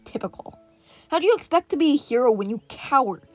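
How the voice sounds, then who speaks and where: Woman playing a high, brusque teenage girl. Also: expressive, quick pace, soft background music. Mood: impatient, scolding. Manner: scolding, calling out. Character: brusque, impatient teenage girl hero trainee.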